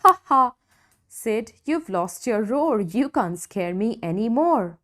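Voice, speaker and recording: A woman's voice: on the high side, expressive, takes it slowly, a bit bright. A woman voicing an indignant dinosaur who teases back, with emphatic sing-song delivery in a children's storybook. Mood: mocking. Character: teased dinosaur friends, indignant then mocking. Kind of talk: children's storybook read-aloud.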